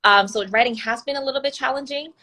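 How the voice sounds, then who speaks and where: A woman's voice: high voice, quick pace, pushed and bright. Young woman author, Mandarin first language. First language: Mandarin Chinese. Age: young adult. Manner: earnest, many fillers and restarts. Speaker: author being interviewed. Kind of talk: conversational interview.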